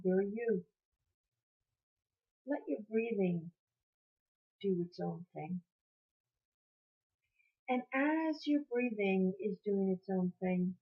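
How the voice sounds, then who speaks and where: Slow-paced woman guiding a meditation. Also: medium pitch. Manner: soothing, encouraging, repeats phrases gently. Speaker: meditation teacher. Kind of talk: guided meditation. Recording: muffled, dull lo-fi sound.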